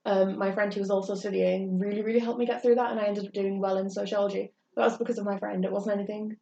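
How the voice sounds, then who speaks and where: A young woman talks candidly, circling her thoughts with frequent pauses and fillers. Her voice is mellow and sits well back from the mic. The room echoes around her, and a dull, lo-fi sound carries a faint hiss. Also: medium pitch, flat delivery, vocal fry, far from the mic. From England. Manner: earnest, rambling, frequent hesitations. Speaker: podcast co-host. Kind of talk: candid personal chat.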